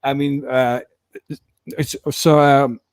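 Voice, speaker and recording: A man's voice: medium pitch, little pitch movement, takes it slowly, clear, resonant tone, no room sound. A man hosting a casual live interview, restating and recapping what he is told. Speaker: interview host.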